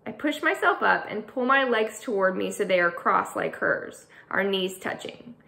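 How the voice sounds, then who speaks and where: A woman author reading her middle grade novel aloud, with an expressive storytelling delivery. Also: medium pitch, normal speed, vocal fry. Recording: echoey room.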